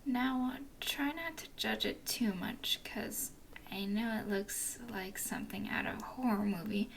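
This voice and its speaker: A woman's voice: mid-range voice, unhurried, creaky, a little hiss or hum. A woman doing a teasing, whispery werewolf girl.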